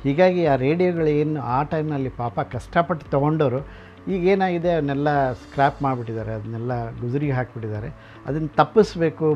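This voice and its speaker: Dull lo-fi audio, music behind, middle-aged man, mid-pitched voice, informal explanatory tour talk. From Karnataka, India. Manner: explanatory, with English words mixed in. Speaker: radio collector and museum founder.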